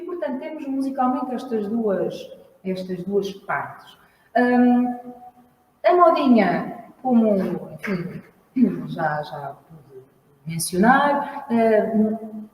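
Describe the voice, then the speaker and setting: Woman with a Lisbon accent presenting a song on stage; measured, explanatory delivery in a long-reverb hall. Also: a little high, expressive, darkish tone, a little hiss or hum. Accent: Lisbon Portuguese. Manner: measured, explanatory, pausing to search for words. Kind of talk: spoken introduction at a live event. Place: large hall at a library event. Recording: echoey hall, mic at a distance.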